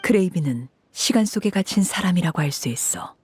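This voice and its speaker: A woman voicing a stern, cold woman who runs an orphan house as its "Father", speaking in a cool, measured, commanding way. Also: lowish voice, expressive, a little mellow, slight background noise, lightly acted. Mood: explaining. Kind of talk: game voice acting.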